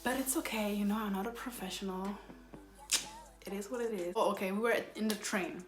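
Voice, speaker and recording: A woman's voice: mid-range voice, a little fast. A young woman whose first language is Somali tells a rambling, exasperated story in a casual storytime style and keeps correcting herself. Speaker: vlogger. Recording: roomy echo with faint background music.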